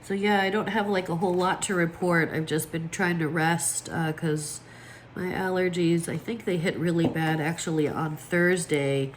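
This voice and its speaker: Planner vlogger, woman, slightly low, froggy from allergies, easygoing chatter, faint room hiss. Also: steady tone, moderate pace. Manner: chatty, lots of fillers, talks while placing stickers. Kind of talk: casual chat while crafting.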